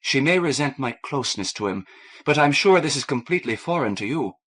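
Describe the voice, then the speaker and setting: A man voicing a gentle, timid, warm-hearted young woman with an eager manner in an audiobook. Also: mid-range voice, animated, brisk, pitched above the performer's own voice. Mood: nervous. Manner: eager, stammering when upset.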